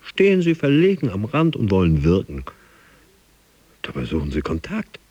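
An actor playing a driven, boastful gym fanatic in a radio drama monologue, intense and theatrical. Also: male voice, fairly low pitch, huge pitch swings, normal speed, a little mellow, slightly rough, no echo, dull audio, full character voice. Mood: scornful. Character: driven, boastful male gym fanatic dreaming of his boss and America.

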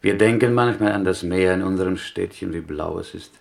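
A pious small-town prisoner from Galicia in a radio drama. His voice is low and gravelly, and he shapes his inverted sentences deliberately, with a gentle, wry plaintiveness. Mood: wistful, wry. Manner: deliberate, melodic phrasing with inverted word order. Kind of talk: German radio play acting.

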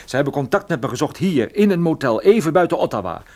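Hardboiled private eye, shaken, bitterly self-mocking, very animated pitch, radio drama. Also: male voice, quick pace. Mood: agitated, bitter. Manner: sardonic, self-deprecating. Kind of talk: Dutch radio play acting.

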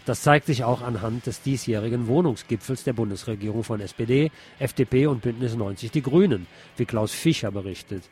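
A man's voice: medium pitch, brisk, hardly any pauses, darkish tone, slight background noise. Man reading scripted radio commentary. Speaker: radio host.

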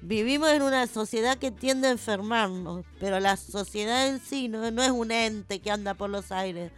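An Argentine woman speaks as a radio co-host in a slightly high voice. She debates assertively and is quick to contradict, with music playing faintly behind her. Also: clean tone.

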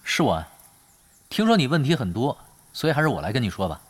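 A man's voice: medium pitch, sing-song, fast, a little hiss or hum, natural voice. A man acting in a Mandarin audio drama as an easygoing, confident esports team leader, wry and plain-spoken. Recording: clear music under the voice.

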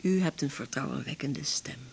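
An actress playing an earnest, troubled woman patient in a radio drama, with a husky, creaky voice. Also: extremely low pitch, expressive, normal speed, a little hiss or hum, exaggerated voice. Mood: earnest, plaintive.

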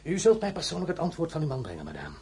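An actor playing a proud, weary French emperor who swings from murmurs to commanding, threatening outbursts in a dramatic audio play. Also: male voice, on the high side, huge pitch swings, rapid-fire, dark tone, husky, heavily acted. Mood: angry, threatening. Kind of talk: dramatic radio play acting.

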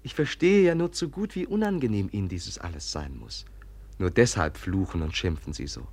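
A man playing a gentle, naive young Russian prince in a 1950s German radio drama, speaking politely and earnestly. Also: sits low, very animated, heavily acted. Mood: conciliatory. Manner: polite, earnest, deliberate, formal.

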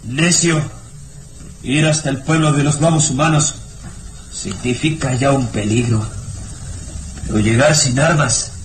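An actor playing a grim, nervous hunter who gives warnings in a radio drama. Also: male voice, medium pitch, unhurried, pauses often, a little hiss or hum, natural voice, rough recording.

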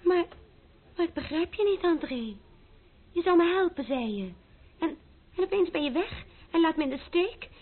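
A woman's voice: extremely high pitch, animated, moderate pace, pauses often. An actress playing an emotional, reproachful young woman in love in an old radio play, stammering and pleading melodramatically. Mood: pleading, hurt. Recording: narrow-band old radio recording.

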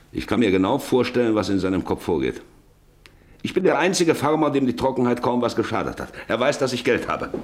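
Casting: domineering middle-aged farmer father, radio play, lowish pitch, highly animated delivery, money-hungry and bossy. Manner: commanding, cutting others short. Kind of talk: German radio drama acting.

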